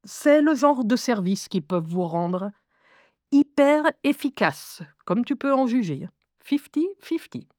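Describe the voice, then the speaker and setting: A woman playing a lively young schoolgirl who narrates her life in a wry, confiding, expressive way in an audio drama. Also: fairly high pitch, slow pace, no echo, studio quality. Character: lively eleven-year-old schoolgirl recounting life with inner voices.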